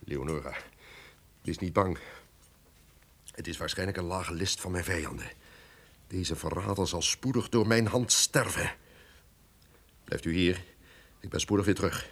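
An actor playing a tyrannical, haunted duke in a theatrical, declamatory radio drama style. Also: male voice, sits low, measured pace, long pauses, a bit bright, exaggerated voice. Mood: agitated. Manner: theatrical, declamatory, swinging from menace to dread. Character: villainous murderous duke, tyrannical, haunted and raging. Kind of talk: old radio drama acting.

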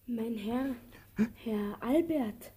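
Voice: mid-range voice, drawn-out words, mellow, slightly rough. A performer playing a timid, obedient young messenger boy in a German radio play, answering meekly and tersely. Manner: terse, meek, hesitant answers.

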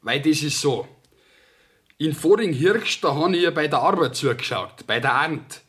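Young Bavarian farm lad for radio play: fairly high voice, stubborn, rustic, artless rambler. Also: male voice, animated, moderate pace, a bit bright. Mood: artless, earnest. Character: plain-spoken, stubborn young peasant lad, the defendant. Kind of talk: German dialect radio play acting.

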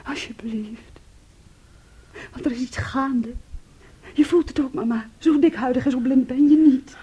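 Husky, bitter young daughter. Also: female voice, sits high, a little slow, warm tone, exaggerated voice. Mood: anguished pleading. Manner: intense, dramatic, cutting. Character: bitter, defiant grown daughter, fiercely accusing and pleading. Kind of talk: Dutch radio play acting.

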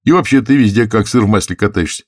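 Man voicing stuttering, wry war correspondent, pitched up. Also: fast, no room sound, clean studio sound, lightly acted. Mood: teasing. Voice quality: heavy stutter on consonants. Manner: ironic, joking, clipped bursts. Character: stammering wry bespectacled male war correspondent, mocking.

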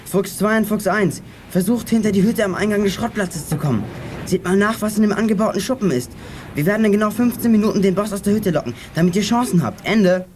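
A young male performer playing a brisk, sensible teenage boy detective who leads his gang in a youth radio play. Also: high voice, lightly acted. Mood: commanding. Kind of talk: 1980s German youth radio play acting. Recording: noisy old audio drama recording.

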